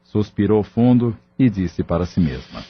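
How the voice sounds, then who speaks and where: A man narrating a scripted audio novel in a measured, dramatic storytelling manner. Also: fairly low pitch, big pitch swings, a little hiss or hum. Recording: muffled, lo-fi track with music. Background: clear background music.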